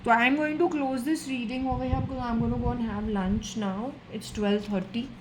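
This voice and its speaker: A woman's voice: on the high side, steady tone, normal speed, noisy background. A woman with an Indian accent, giving a solo spiritual reading and speaking earnestly to an unseen spirit. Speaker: tarot and occult reader.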